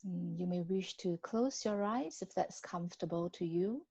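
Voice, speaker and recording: A woman guiding a body scan meditation in a gentle, unhurried manner with long pauses between phrases. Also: on the low side, husky, dry recording, lo-fi sound. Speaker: meditation guide.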